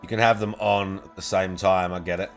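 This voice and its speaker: A man's voice: low voice, steady tone, a little fast, gravelly, soft background music. Young man, sarcastic gaming banter. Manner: sarcastic, profane, chatty. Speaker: gaming video host. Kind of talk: casual let's play commentary.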